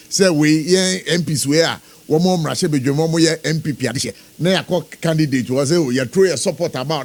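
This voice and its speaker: A man with a Ghanaian accent hosting a political radio interview, mixing two languages as he puts questions. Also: on the high side. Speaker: radio show host interviewing a guest.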